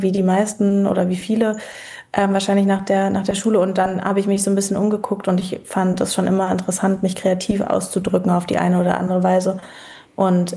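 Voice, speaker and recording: A woman in her thirties from Berlin, a guest talking in a relaxed, reflective way with many pauses and fillers. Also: medium pitch, vocal fry. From Berlin, Germany. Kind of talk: casual interview chat.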